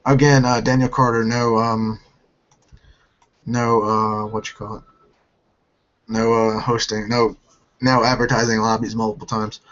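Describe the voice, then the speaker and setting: A hoarse man from North Carolina hosting a gaming channel, answering viewer questions in a halting way and often restarting his sentences. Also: fairly low pitch, flat delivery, a bit bright, lo-fi sound. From North Carolina, United States.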